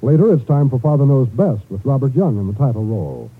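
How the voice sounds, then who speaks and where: A man's voice: medium pitch, big pitch swings, fast, husky. An American man announcing in scripted 1950s network radio style. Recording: muffled vintage radio, music behind.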